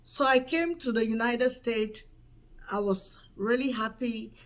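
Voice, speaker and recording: A woman's voice: a little high, a little slow. A woman from Cameroon, giving an earnest personal faith testimony and repeating words for emphasis. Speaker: channel host giving a testimony.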